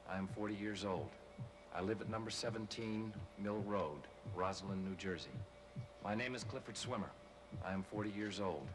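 A man's voice: lowish voice, natural voice. An American man acting a bitter, sardonic, weary suburban husband of forty in a 1970s television drama. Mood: flat, droning. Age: forties. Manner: sarcastic, weary. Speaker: actor in a television film. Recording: noisy old TV film soundtrack.

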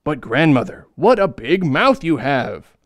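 A man's voice: a little high, very animated, a little slow, dry recording, studio quality. A man voicing a sweet, trusting little girl in a storybook reading, in a raised sing-song tone. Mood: innocent.

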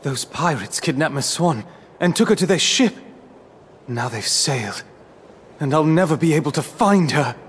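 Man as anxious young adventurer, high male voice, animated, formal, determined, urgent game acting. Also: moderate pace, slight background noise, natural voice. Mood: despairing. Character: earnest, anxious, determined young gentleman hero. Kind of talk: dramatic video game voice acting.

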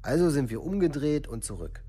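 A man's voice: on the high side, big pitch swings, brisk, mellow, breathy edge. An actor playing a young suspect reciting his police statement soberly in a documentary radio play, with formal, factual wording.

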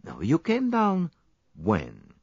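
A man voicing a shrewd, courteous, fussy detective with a French accent and a deliberate, coaxing way of questioning, in an audiobook story. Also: on the high side, huge pitch swings, a little mellow, breathy edge, no echo, muffled recording, voice pushed up, exaggerated voice. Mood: courteous, probing.

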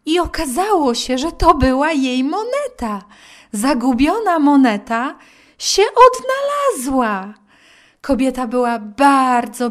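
A middle-aged woman speaks to children as a storyteller. Her voice is fairly high and very unhurried, recorded with roomy echo a little away from the mic. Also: far from the mic. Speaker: storyteller and children's lesson presenter.

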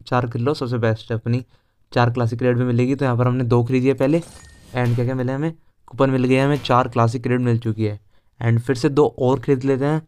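A man's voice: mid-range voice, smooth. A young man with an Indian accent giving casual, chatty game walkthrough commentary as a video host. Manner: informal, chatty, mixes Hindi with English words.

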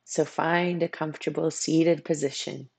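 A meditation coach guiding a practice in a soothing way, with unhurried phrases, long pauses and a husky, gravelly voice. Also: mid-range voice, flat delivery, no room sound. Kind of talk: guided meditation.

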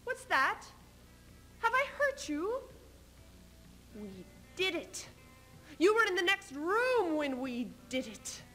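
A woman playing a proud, scheming medieval queen in a theatrical stage reading, taunting her husband with measured, biting speech. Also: very high-pitched, very animated, sharp tone, faint music behind, heavily acted. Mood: cruel, gloating. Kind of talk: theatrical readers theater duo performance. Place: stage in front of curtain.

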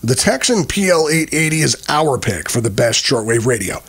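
Smooth male product review narrator. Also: mid-range voice, big pitch swings, moderate pace, fairly bright tone. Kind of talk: scripted voice-over narration.

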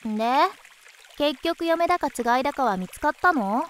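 A woman playing a cheeky, tomboyish young beast-woman in a voice drama, blunt, casual and expressive. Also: high voice, moderate pace. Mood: teasing. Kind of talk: voice drama acting.

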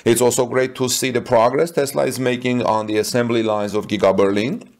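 A man's voice: mid-range voice, fairly even intonation. A man hosting a tech news channel, reading the news as a voice-over and slipping into casual asides with many fillers and restarts. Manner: halting, with frequent fillers and restarts. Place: voice-over over stock footage.

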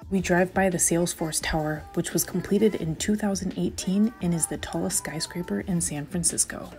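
A woman's voice: fairly low pitch, moderate pace. A young woman giving chatty, upbeat travel vlog narration, talking straight to her viewers as she shows places around her. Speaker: travel vlogger. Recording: loud background music over voice.

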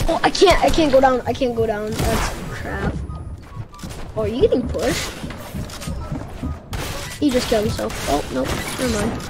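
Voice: medium pitch, normal speed, vocal fry, pauses often. A child playing an online game, chatting casually in gamer slang. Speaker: player.

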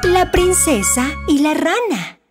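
A woman narrating a scripted children's story in an expressive, deliberate storytelling manner. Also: extremely high pitch, over loud music.

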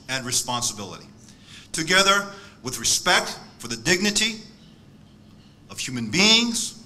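A man, delivering a measured, emphatic keynote speech at a podium microphone in a conference hall. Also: a little high, pushed and bright. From born in South Korea, raised in the United States. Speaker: keynote speaker. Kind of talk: formal prepared speech.

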